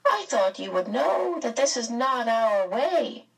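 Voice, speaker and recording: A woman voicing a calm, grave female guardian being in a storybook, measured, firm and regretful. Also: on the high side, slightly rough, distant mic, voice pushed up, heavily acted. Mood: sad, firm.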